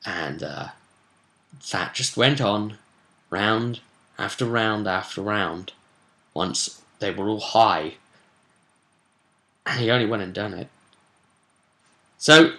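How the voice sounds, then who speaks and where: A man's voice: mid-range voice, bright, forceful tone. A British man casually recapping a tabletop role-playing session as host and game master, in a chatty, rambling storytelling manner full of slang.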